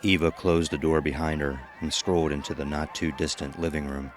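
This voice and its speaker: A man's voice: rumbling low, fairly even intonation, a little fast. A man narrating a scripted horror story as an ominous storyteller.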